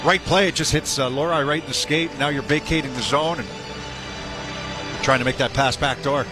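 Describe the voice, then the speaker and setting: A man's voice: a little high, normal speed, music in the background. A man giving conversational color analysis during a live hockey radio broadcast. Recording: radio broadcast over loud background sound.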